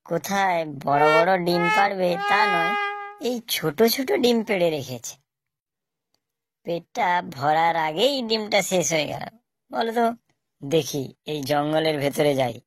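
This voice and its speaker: A woman's voice: low-pitched, normal speed, dry recording, clean studio sound. A woman voicing a mischievous, greedy fox with a sing-song manner in a children's cartoon. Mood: greedy, grumbling. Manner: sing-song, chanting nursery rhymes. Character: mischievous egg-stealing fox, gleeful, greedy rhymer. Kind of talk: children's cartoon character acting.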